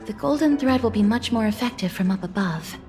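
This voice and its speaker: An actress playing a poised, gentle, courteous young woman in a video game, speaking formally and with measured care. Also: on the high side, animated, soft background music. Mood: caring. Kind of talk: scripted video game drama.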